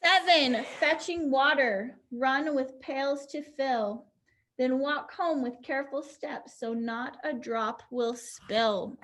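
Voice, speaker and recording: A woman's voice: high voice, slow, fairly bright tone, a little hiss or hum. A young woman teacher leading a pantomime activity online, upbeat and encouraging as she explains each step.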